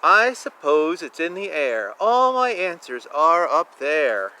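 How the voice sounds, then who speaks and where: A man reading children's books aloud outdoors, with a drawn-out, sing-song delivery and casual asides. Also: a little high, sing-song, bright, forceful tone, low-quality recording. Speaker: children's book reader. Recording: outdoor recording with clear ambient noise. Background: outdoor ambience.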